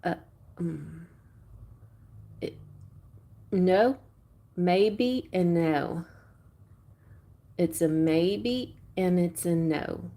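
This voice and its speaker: A woman's voice: on the low side, drawn-out words, long pauses, mellow. A woman putting on a Texas accent, chatting casually as she hosts a live tarot reading, playful and rambling as she thinks aloud. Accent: put-on Texas. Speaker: tarot reader hosting a livestream.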